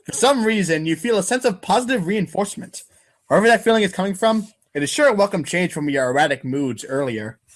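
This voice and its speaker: A man's voice: high-pitched, expressive, fast. A man giving a playful, theatrical, exclamatory reading of a comic as its narrator. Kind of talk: playful dramatic reading of a webcomic.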